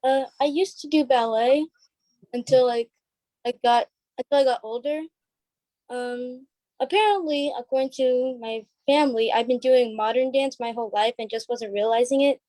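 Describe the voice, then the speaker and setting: High-pitched, mellow-voiced woman chatting. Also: normal speed, dry recording. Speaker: stage play cast member being interviewed. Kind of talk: casual chat.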